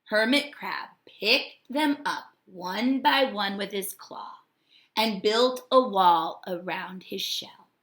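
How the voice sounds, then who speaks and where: Slow, bright-voiced woman reading to students. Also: medium pitch. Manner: expressive, deliberate, addressing children directly. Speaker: teacher reading aloud to students. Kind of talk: storybook read-aloud with comments to students.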